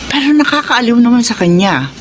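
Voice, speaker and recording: A man playing a formal doctor in a Filipino radio drama, speaking in a measured, instructive way with a Filipino accent. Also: extremely high pitch, sing-song, fairly bright tone, a little hiss or hum, full character voice. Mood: professional, serious. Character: formal doctor explaining a child's condition.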